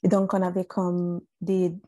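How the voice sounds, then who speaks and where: A woman's voice: on the low side, monotone, a little slow, warm tone, no room sound. Hesitant woman, dry sound. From Italy. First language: Bengali and Italian. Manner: hesitant, many fillers and restarts. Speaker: interview guest. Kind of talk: conversational interview.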